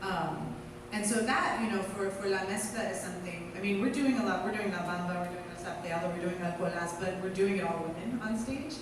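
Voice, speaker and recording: A woman's voice: on the low side, brisk, crowd noise. A woman speaking as a dancer on a live panel, explaining at length with many restarts and fillers. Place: theater stage. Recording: echoey hall, distant mic, noise.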